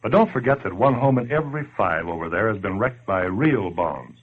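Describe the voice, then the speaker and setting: A man's voice: on the low side, lively intonation, brisk, husky. An actor playing a tough, slangy man who scolds listeners bluntly in 1940s radio style. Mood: blunt, urging. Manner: blunt, sardonic, colloquial. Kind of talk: 1940s radio acting, direct address to listener. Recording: dull lo-fi 1940s radio recording.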